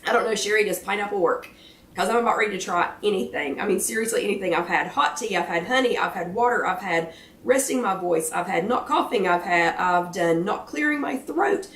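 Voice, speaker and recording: An American woman hosts a casual live chat in a hoarse, worn voice. She is chatty and a little apologetic, speaking at an average pitch. She sounds set back from the microphone in a roomy, echoing space. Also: fairly quick, fairly bright tone. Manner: chatty, apologetic, self-deprecating. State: hoarse, failing voice. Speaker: host of a live weight-loss chat. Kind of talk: casual livestream chat greeting viewers. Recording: roomy echo, distant microphone.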